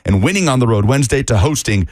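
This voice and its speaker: A male sports radio analyst talking into a close studio microphone. His voice sits at an ordinary middle pitch, and the sound is dry with no room to it. He is brisk and detailed and rattles off records and statistics. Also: lively intonation, a little mellow, no echo.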